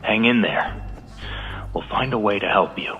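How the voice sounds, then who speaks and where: Hushed urgent agent. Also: male voice, lowish voice, measured pace, faint music behind. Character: cautious male agent urging restraint. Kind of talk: game cutscene voice acting. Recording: dull reverberant game audio.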